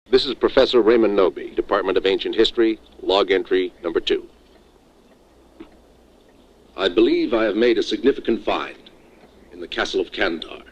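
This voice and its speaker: An actor playing a formal history professor logging sinister discoveries, measured and portentous, in a horror film. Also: male voice, fairly low pitch, slightly rough, muffled recording. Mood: formal, ominous. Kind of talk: scripted horror film recorded-log narration.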